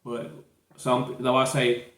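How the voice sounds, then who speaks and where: A man's voice: medium pitch, completely flat delivery, slow pace, fairly bright tone, roomy sound. A man hosting a podcast, talking loosely and rambling, with many restarts and fillers. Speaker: podcast host. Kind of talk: casual chat.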